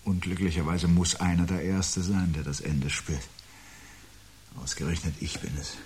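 An actor playing a brooding, anxious man who prophesies the end of life, speaking gravely and deliberately with a husky voice in a 1970s radio play. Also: deep voice, steady tone, darkish tone, a little hiss or hum, close to an everyday voice. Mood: weary, oppressed. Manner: grave, deliberate, articulate, philosophical monologuing.

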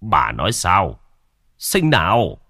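A man voicing an older village husband who speaks in a gruff, dismissive, matter-of-fact way. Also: deep voice, huge pitch swings, slow pace, pushed and bright, dry recording, studio quality, heavily acted. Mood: gruff skeptical.

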